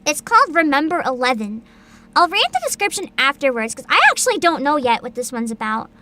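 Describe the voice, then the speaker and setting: A woman with a high, bright voice hosts a casual livestream. Her pitch moves around a lot as she chats and reads aloud with bubbly enthusiasm. Soft music plays behind her. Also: big pitch swings, brisk, slight background noise. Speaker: virtual streamer host. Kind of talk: casual livestream chat, partly reading aloud.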